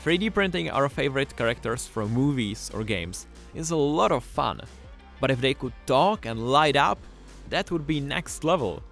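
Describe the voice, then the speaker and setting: Male tutorial narrator, mid-pitched and animated, scripted and enthusiastic, faint music underneath. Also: normal speed, vocal fry. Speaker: tutorial presenter.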